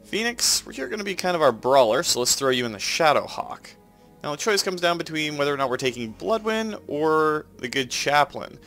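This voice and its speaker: A man's voice: on the high side, big pitch swings, normal speed, fairly bright tone, faint music behind. A man with a Canadian accent and a head cold that makes him sound nasal, chatting casually as he plays and explains his moves. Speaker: let's play host. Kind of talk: casual gameplay commentary.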